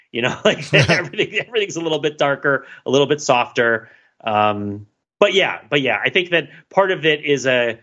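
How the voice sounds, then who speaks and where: A man from the United States co-hosting a podcast in casual chat, animated and laughing often, with many fillers and restarts. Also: medium pitch, muffled recording. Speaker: podcast co-host.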